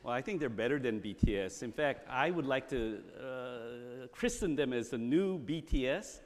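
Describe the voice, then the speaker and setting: A man's voice: mid-range voice, lively intonation, moderate pace. Man, 60s, Korean native speaker, church talk, chatty with fillers, hall echo. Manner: conversational, many fillers and restarts. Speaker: guest speaker. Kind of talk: church talk telling a personal story.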